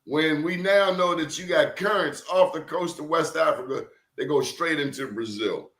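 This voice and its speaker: A man's voice: fairly high pitch, normal speed, fairly bright tone, roomy sound. A man talking as a professor guest in casual conversation, telling emphatic stories and throwing out rhetorical questions.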